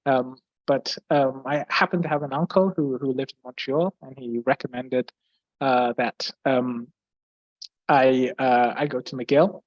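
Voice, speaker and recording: A man's voice: mid-range voice, steady tone, a little slow, dry recording, muffled recording. Hesitant man with an English accent. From Brazil. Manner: hesitant, many fillers and restarts, clears throat often. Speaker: guest physicist. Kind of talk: explaining physics in a Q&A.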